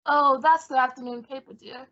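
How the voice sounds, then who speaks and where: A woman's voice: sits high, fairly even intonation, normal speed, fairly bright tone. A woman playing a fussy, sardonic male photographer with an arch, droll manner in a radio play. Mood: arch, genial. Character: fussy, sardonic, theatrical male photographer, grumpy but fond. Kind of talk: old-time radio comedy-mystery acting. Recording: dull lo-fi audio.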